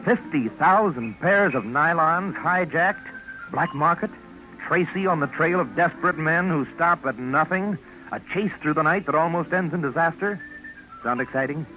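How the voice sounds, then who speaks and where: A man's voice: fairly high pitch, lively intonation, normal speed, soft background music. A man announcing dramatically and emphatically, in the style of a 1940s radio promo. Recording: muffled 1940s radio broadcast.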